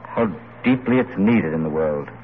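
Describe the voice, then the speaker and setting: Earnest idealist, vintage radio sound. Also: male voice, very deep voice, animated, moderate pace, phone-quality sound. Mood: polite, earnest. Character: impoverished, idealistic male pamphleteer, proud, wry and fervent. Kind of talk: 1940s-50s radio drama acting. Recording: thin, noisy old radio recording.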